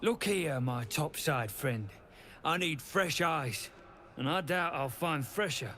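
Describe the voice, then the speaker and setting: A curious underground scholar from a video game. He speaks very slowly in a lightly high voice. His manner is formal, musing and quaintly courteous. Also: lively intonation, sharp tone, heavily acted. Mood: courteous, hopeful. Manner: measured, musing, ornate and formal. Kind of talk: video game character acting.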